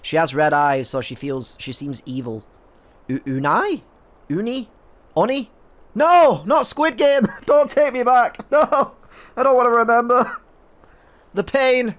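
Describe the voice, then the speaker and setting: A man's voice: very high voice, a little slow, long pauses. A man with a stuffy nose giving rambling, jokey commentary as he plays a video game. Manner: rambling, jokey, self-mocking. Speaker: gaming video creator. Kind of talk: let's play commentary.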